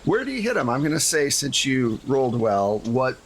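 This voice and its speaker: A man's voice: medium pitch, sing-song, moderate pace, slight background noise. A man running a tabletop game, narrating casually as game master with a jokey streak.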